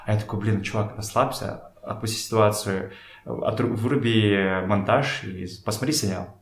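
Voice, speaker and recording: A man talking casually as a podcast guest, telling things in a halting, slangy way and often repeating words. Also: lowish voice. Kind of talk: casual conversational storytelling. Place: in a room at a home. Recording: echoey room.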